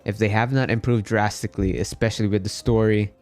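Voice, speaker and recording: A man's voice: on the low side, steady tone, fast talker, a little mellow, faint music behind. A man from the Philippines chatting casually as he hosts a game livestream. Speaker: game streamer.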